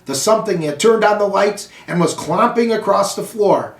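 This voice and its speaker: A man reads a children's storybook aloud in an expressive, theatrical way, with dramatic emphasis on action words. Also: sits high, normal speed. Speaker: school superintendent reading aloud to pupils. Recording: roomy echo, distant microphone.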